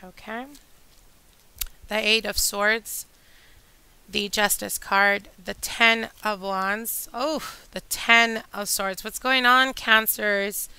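A woman reading tarot cards for her channel, chatty and addressing viewers directly, her voice a little hoarse from a long day. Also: medium pitch, fairly even intonation, slow pace, no echo, low-quality recording. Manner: chatty, warm address to viewers, whispers during shuffling. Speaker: tarot reader. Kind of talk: casual tarot reading monologue. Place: indoor table with candles and decor.

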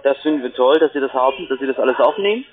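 A man's voice: medium pitch, rapid-fire. Man on a tinny phone line. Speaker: call-centre phone caller. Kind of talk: unscripted sales call.

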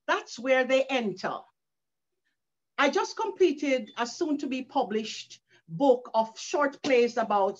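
Slow-talking older woman on a webcam. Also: a little high. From Caribbean. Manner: slow, earnest, with frequent restarts. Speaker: author and educator. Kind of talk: talk on a video call. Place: living room at home, indoors. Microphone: video call webcam. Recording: dull lo-fi call audio.

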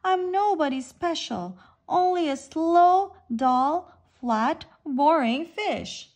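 A woman voicing a gloomy but kind flatfish in a storybook, wistful and plain-spoken. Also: sits high, takes it slowly, voice pushed up, exaggerated voice. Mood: dejected. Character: slow, gloomy but kind young plaice fish.

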